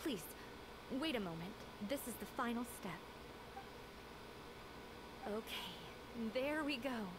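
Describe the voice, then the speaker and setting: A woman playing a gentle, gracious young craftswoman in a video game, polite and composed. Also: a little high, animated, takes it slowly, pauses often. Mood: grateful. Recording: clear game background noise.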